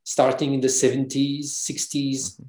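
A man's voice: medium pitch, monotone, unhurried, darkish tone, roomy sound. A man with a French accent, speaking English as a second language, who explains his research with many restarts and fillers. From France. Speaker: guest, researcher and author. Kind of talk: interview, explaining at length.

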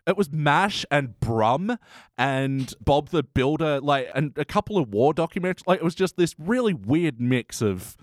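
A man's voice: fairly high pitch, lively intonation, normal speed, dry recording, clean studio sound. An Australian man hosting a casual review podcast with a wry, chatty manner. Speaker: podcast host. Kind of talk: casual comedy review chat.

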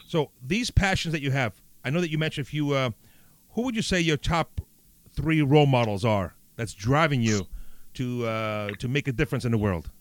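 A man's voice: medium pitch, normal speed. A man who grew up in Italy, hosting an interview with upbeat praise and frequent fillers. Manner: enthusiastic, praising, many fillers. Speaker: podcast host.

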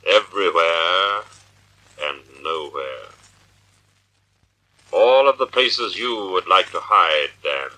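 Casting: mysterious radio avenger, taunting and commanding, low voice with hard, forceful edge, vintage recording. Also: male voice, lively intonation, takes it slowly, pauses often, slight background noise, full character voice, poor audio. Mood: eerie, taunting. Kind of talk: 1940s radio drama acting. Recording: old radio drama recording.

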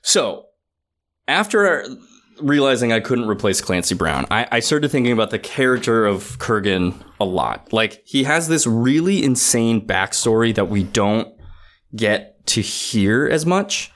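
A man's voice: medium pitch, sing-song, measured pace, fairly bright tone. A man co-hosting a casual film discussion, earnest and thinking aloud as he goes. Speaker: podcast co-host.

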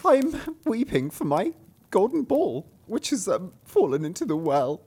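A man voicing a spoiled young princess in a falsetto, high and loud, pleading and eager. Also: wildly swooping pitch, a little slow, husky, exaggerated voice.

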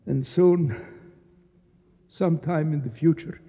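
An actor playing a proud, bitter Egyptian nationalist official in a radio drama, speaking formally and precisely with declamatory flourishes. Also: male voice, on the high side, takes it slowly, warm tone, breathy edge. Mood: fervent, declamatory. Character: proud, embittered, fanatical Egyptian nationalist official, courteous yet grim. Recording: dull sound with long echoing reverb.

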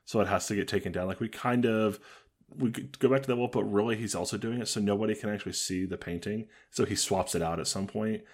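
A man co-hosting a podcast in casual comedic chat, dry and joking, with a deep voice. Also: quick pace. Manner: dry, joking, riffs on bad movies. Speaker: podcast co-host.

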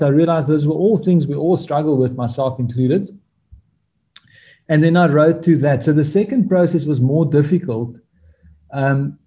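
Dark-voiced Afrikaans-accented man, reflective. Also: on the high side. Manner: reflective, candid, thinks aloud in short restarting phrases. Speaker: guest author. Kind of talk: podcast interview.

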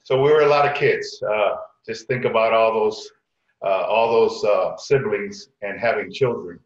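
A middle-aged man from Connecticut telling personal stories casually as a podcast guest, haltingly, often repeating words. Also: on the low side. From Connecticut, United States. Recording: echoey room, dull lo-fi sound.

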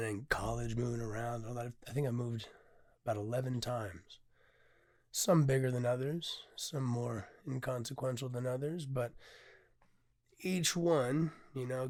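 Hoarse, tired man casually chatting. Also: mid-range voice. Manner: candid, swears freely, frequent ums. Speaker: podcast host and poet. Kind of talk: casual solo podcast talk.

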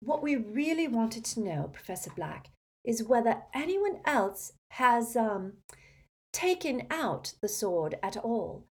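A woman's voice: a little high, big pitch swings, takes it slowly, a little mellow, pitched above the performer's own voice, full character voice. A woman voicing a prim, earnest teenage witch, polite but insistent, in an audiobook. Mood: polite, probing. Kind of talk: audiobook character acting.

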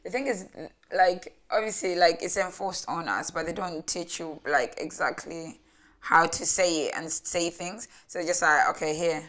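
A young woman co-hosting a casual podcast, talking quickly and searching for words. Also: on the low side, steady tone, fairly bright tone. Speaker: podcast co-host. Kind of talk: casual chat.

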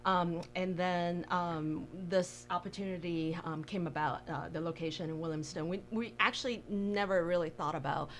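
A woman from Taiwan telling her story casually as an interview guest, halting and thinking aloud. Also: lowish voice, a little hiss or hum. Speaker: interview guest, bakery co-owner.

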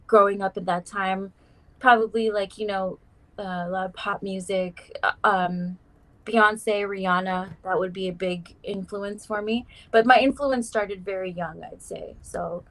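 Chatty young woman from South Africa. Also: mid-range voice. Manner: chatty, with lots of "like" and "um". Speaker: guest artist. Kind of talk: casual interview chat.

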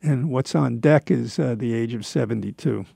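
A man in his seventies giving a rambling personal monologue in a halting, reflective, self-deprecating way, with a gravelly voice. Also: medium pitch, dark tone, dry recording, clean studio sound. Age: 70s.

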